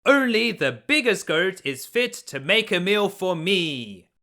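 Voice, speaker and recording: A big, fearsome troll from a storybook, acted out by a man, loud and forceful. He taunts in drawn-out, swooping phrases, full of greedy menace and temper. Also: high voice, very animated, pitched above the performer's own voice, exaggerated voice. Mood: grudging.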